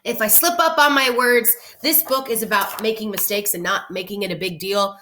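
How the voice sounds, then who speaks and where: A woman speaking as an elementary teacher, expressive and encouraging, in simple words for children and their families. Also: medium pitch, normal speed. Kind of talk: children's read-aloud with casual talk to families.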